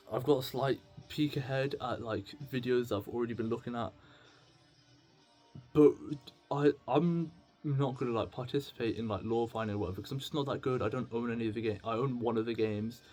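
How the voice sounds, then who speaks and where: A man's voice: medium pitch, darkish tone. A man in his late teens talking casually and confessionally to camera, rambling and stammering as he thinks aloud. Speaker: video blogger.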